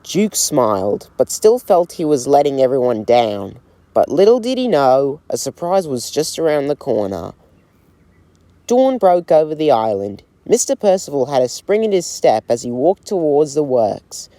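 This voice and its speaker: A man narrating a railway storybook as a scripted read, in a slow, gentle storytelling manner. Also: on the high side, sing-song, no room sound.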